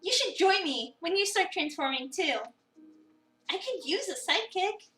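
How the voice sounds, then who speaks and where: A woman's voice: very high voice, expressive, normal speed, fairly bright tone, voice pushed up. A woman voicing a bubbly, confident young female cafe coworker in a visual novel, perky and encouraging. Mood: bubbly, proud. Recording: roomy, distant mic, music behind.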